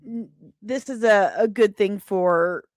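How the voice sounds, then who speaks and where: A woman's voice: mid-range voice, slow, a little mellow, no echo. A woman hosting a casual livestream, chatting playfully and emphatically straight to her viewers. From Britain. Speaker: livestream host and comic book writer.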